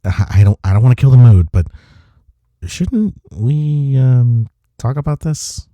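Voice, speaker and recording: A man playing a teasing, tender office rival in an intimate romantic audio roleplay, speaking haltingly with breathy sighs and murmurs. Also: mid-range voice, lively intonation, warm tone, no echo, lo-fi sound, close to an everyday voice. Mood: breathless, awed. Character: young male coworker rival confessing love, teasing and tender.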